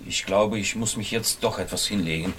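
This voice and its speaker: A man's voice: on the low side, fairly quick, a bit bright, slight background noise, close to an everyday voice. An actor playing an agitated, insistent patient who is convinced that he is doomed, in a radio drama. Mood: shaken. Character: agitated, stocky man convinced death pursues him. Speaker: therapy patient. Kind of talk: German radio drama acting.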